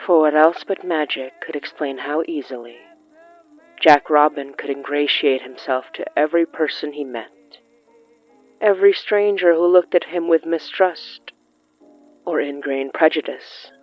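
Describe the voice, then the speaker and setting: A narrator reading scripted horror fiction in a deliberate, literary way with dramatic pauses. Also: medium pitch, highs cut off, soft background music.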